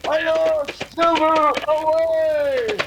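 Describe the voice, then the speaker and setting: An actor playing an earnest, resolute masked cowboy hero in an old-time radio western. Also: male voice, very high-pitched, little pitch movement, extremely slow, background noise, heavily acted. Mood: calling out.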